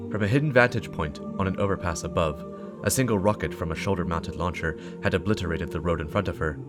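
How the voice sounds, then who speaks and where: A man's voice: deep voice, quick pace. A man giving a dramatic scripted narration of a story. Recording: music behind the voice. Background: game soundtrack music.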